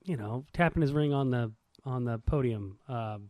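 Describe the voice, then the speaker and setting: A man's voice: mid-range voice, moderate pace, darkish tone, no room sound. A man co-hosting a podcast, telling things informally and colloquially in casual sports banter. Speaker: podcast co-host.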